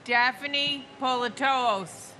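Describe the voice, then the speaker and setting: Solemn woman in her sixties, high bright voice, slow and emphatic memorial reader. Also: slight background noise. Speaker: victim's family member reading names. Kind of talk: memorial ceremony name reading and speech.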